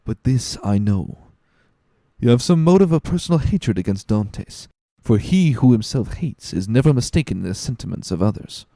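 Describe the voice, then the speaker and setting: A man's voice: medium pitch, animated, moderate pace, mellow, dry recording, voice pushed up, natural voice. Man acting a hot-headed, impatient young Catalan. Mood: suspicious. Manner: urgent, intense.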